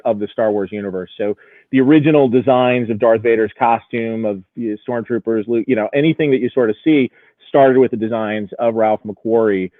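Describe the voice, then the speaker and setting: A man's voice: medium pitch, no room sound. A man talking casually and explaining things in detail as an interview guest, with enthusiasm for his subject. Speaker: auction house executive guest. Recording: tinny, narrow phone-like sound.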